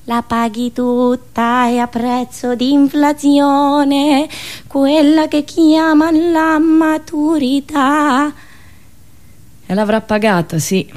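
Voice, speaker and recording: Central italian woman doing an ironic storytelling monologue on radio, very theatrical, mid-pitched voice. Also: a little mellow. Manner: theatrical, ironic, with dialect asides.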